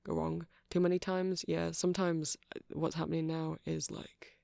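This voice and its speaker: Man playing a creaky-voiced nerdy vampire shopkeeper. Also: fairly high pitch, moderate pace, dry recording, clean studio sound. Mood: eager, helpful. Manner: hesitant, apologetic, excitable rambling. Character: nerdy male vampire music-shop keeper, eager and rambling. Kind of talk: ASMR roleplay, casual chat.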